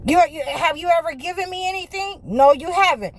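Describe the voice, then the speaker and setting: A woman spiritual healer preaching to her viewers in a fervent, repetitive way, full of restarts and "you know" fillers, and sometimes rising close to a shout. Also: very high-pitched, a little fast. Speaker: spiritual healer and channel host.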